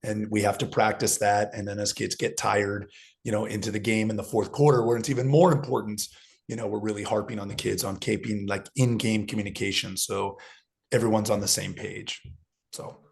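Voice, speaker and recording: A hoarse man, a football coach, explaining his views in a thoughtful, casual conversation. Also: fairly low pitch, level tone, brisk. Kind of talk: casual video chat conversation.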